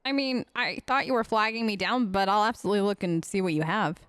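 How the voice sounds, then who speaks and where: A woman's voice: mid-range voice, quick pace, a bit bright, smooth, dry recording. A woman giving casual, wry gaming commentary as a let's play host, chatting in character on a close mic.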